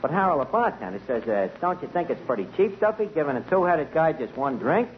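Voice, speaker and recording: Wisecracking ny tavern manager, old 40s radio comedy, muffled noisy recording, bad grammar. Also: male voice, fairly high pitch, very animated, brisk. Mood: storytelling. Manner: streetwise, mangles grammar and big words. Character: wisecracking, malapropism-prone male tavern manager. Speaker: comic lead of a radio sitcom. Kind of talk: 1940s radio comedy acting.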